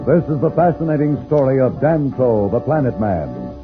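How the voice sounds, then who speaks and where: A man's voice: medium pitch, very animated, moderate pace. A man narrating an old-time radio serial as announcer, in a theatrical, declamatory scripted style. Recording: narrow-band vintage radio, loud music behind. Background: loud music.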